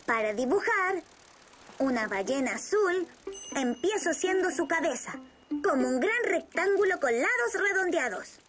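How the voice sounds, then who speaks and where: Lively high-voiced boy rabbit. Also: measured pace, slight background noise, heavily acted. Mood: cheerful, instructive. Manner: bright, encouraging, explains step by step. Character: cheerful, helpful young boy rabbit who loves drawing. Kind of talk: children's cartoon dub.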